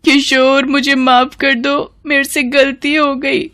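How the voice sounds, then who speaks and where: A woman's voice: high-pitched, level tone, takes it slowly, fairly bright tone, voice pushed up. A woman voicing a scheming, greedy aunt in a cartoon moral story, defensive and evasive. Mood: pleading. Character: scheming, greedy aunt who fears exposure. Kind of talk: animated moral story acting.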